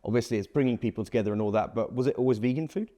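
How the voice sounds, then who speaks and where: A man hosting an interview, upbeat and enthusiastic, firing off quick questions. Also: medium pitch, dark tone. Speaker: podcast host. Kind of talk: casual interview.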